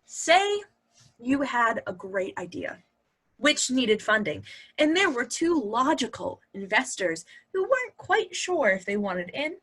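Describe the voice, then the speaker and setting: A woman's voice: a little high, sing-song, a little slow, a bit bright, slightly rough, exaggerated voice. A woman acting in a play reading as a sly, upbeat wife, teasing and persuasive. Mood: coy. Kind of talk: virtual stage play reading.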